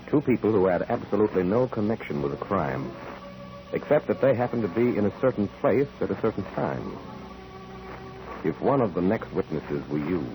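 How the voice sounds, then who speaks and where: Low, solemn male radio announcer. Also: poor audio. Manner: dramatic, solemn. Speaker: announcer, narrator. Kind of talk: 1940s radio scripted narration.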